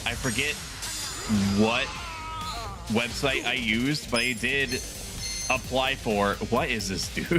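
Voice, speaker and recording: Chatty man streaming over game music. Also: mid-range voice. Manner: rambling, chatty, laughs often. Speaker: VTuber streamer. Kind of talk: casual live-stream gameplay chat. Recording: game music playing under the voice.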